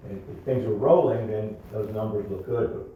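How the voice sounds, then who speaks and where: A man's voice: on the low side, moderate pace, phone-quality sound. Male board member, analytical and questioning in discussion. Distant pickup, faint noise behind. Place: indoor public board meeting room. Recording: echoey meeting room, distant mic, faint noise.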